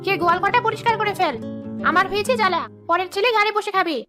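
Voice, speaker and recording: Woman as shrill-pitched, spiteful stepmother. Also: rapid-fire. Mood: scornful, irritated. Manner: scolding, sarcastic. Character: harsh, spiteful village stepmother. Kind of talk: children's fairy-tale cartoon dubbing. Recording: music under the voice.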